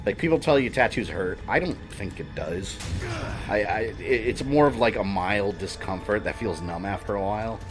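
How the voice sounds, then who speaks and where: A man's voice: on the low side, animated, normal speed, over music. A man in his thirties chatting casually and rambling while he streams a video game. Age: 30s. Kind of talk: casual chat while gaming.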